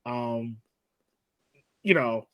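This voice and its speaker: A man's voice: medium pitch, very slow, pushed and bright, no room sound. A man co-hosting a sports podcast, talking casually and conversationally as in a post-game analysis. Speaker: podcast co-host.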